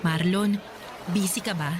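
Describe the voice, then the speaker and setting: A woman playing a harried, money-tight mother in a Tagalog radio drama, hurried and firm. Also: on the low side, a loud crowd, heavy background noise, close to an everyday voice. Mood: hopeful, grateful. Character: harried, budget-strapped mother of young children.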